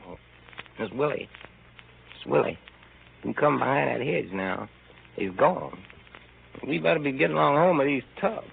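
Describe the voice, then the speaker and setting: A man's voice: medium pitch, animated, normal speed, creaky, pauses often. A man playing an easygoing, folksy handyman in a 1930s radio drama, grumbling in a drawl. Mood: low, reassuring. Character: easygoing, unhurried handyman with a stubborn dog. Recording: scratchy, tinny 1930s radio recording.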